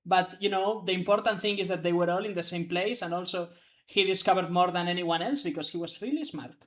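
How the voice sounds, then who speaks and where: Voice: medium pitch, fairly quick. A young adult law student chatting casually, rambling and hedging with lots of fillers. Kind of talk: casual chat among players.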